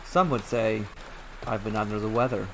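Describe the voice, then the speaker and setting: A man voicing a weary, cursed traveller who speaks calmly in a low, quiet, measured way. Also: noisy background, lightly acted. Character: calm weary male traveller, cursed wanderer.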